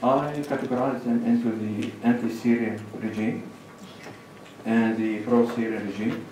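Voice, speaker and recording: A man's voice: medium pitch, fairly even intonation, a little mellow, slight background noise. Lebanese man lecturing in an echoey hall. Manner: measured, lists points with pauses. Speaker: conference speaker. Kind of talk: academic presentation. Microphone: lectern microphone, far camera. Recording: echoey hall recorded from far off.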